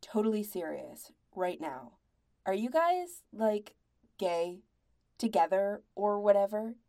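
A grim young man from a band, read by a woman, in an audiobook story. He is blunt and slangy, accusing his friends in an exasperated, moving pitch. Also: very animated, slow pace, mellow, no room sound, clean studio sound. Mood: blunt, incredulous.

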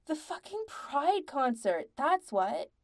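A woman's voice: medium pitch, animated, unhurried, warm tone, close to an everyday voice. A woman voicing a furious, exasperated young male band member who snarls and swears emphatically in a story.

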